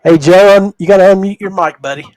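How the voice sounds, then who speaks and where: A man's voice: sits high, fast talker, darkish tone, no echo, rough recording. A man hosting a livestream podcast over a video call, chatting informally and chummily with his guests. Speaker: podcast host. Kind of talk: casual livestream podcast chat.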